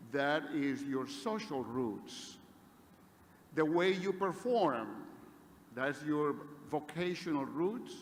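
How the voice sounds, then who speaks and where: Slow non-native man in echoey hall. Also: on the high side. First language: not English. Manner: slow, emphatic, building repeated phrases. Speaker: honorary degree recipient. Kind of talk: commencement speech. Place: large hall. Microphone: podium mic. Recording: echoey hall, faint noise.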